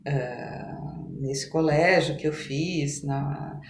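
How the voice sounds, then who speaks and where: Brazilian woman guest chatting, lowish voice, thinks out loud n rephrases, roomy echo, bit of noise. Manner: halting, reflective, often rephrasing. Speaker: podcast guest, physician. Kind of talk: conversational interview. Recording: echoey room with noticeable background noise.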